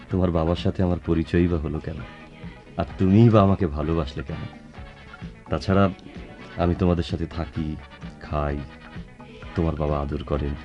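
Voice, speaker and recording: An actor playing a young student suitor in a Bengali radio drama, dryly ironic and brooding yet deferential. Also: male voice, sits low, warm tone, muffled recording, close to an everyday voice. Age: young adult.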